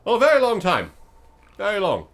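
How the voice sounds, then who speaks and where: A high, loud and cheerful ancient skull oracle for a tabletop fantasy game. It chats away in an offhand, casual manner, far lighter than a giant undead thing would suggest. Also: male voice, huge pitch swings, brisk, exaggerated voice. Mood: chatty, musing. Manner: cheery, offhand, hesitant chattiness.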